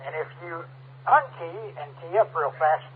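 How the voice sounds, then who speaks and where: A high-pitched man runs an amateur radio check-in net as its controller. He works from a set script and adds asides as he goes. His voice comes through a thin two-way radio channel with a faint hum and static. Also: unhurried. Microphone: radio transceiver microphone. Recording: tinny narrowband radio link, hum and static.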